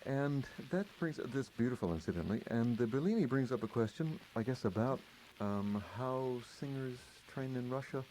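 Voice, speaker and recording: A man hosts a radio interview, asking gentle, hesitant questions with small restarts. His voice is mellow and mid-pitched. A faint hiss from an old radio broadcast runs under it. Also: lively intonation, normal speed, hardly any pauses, poor audio. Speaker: radio host. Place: radio studio.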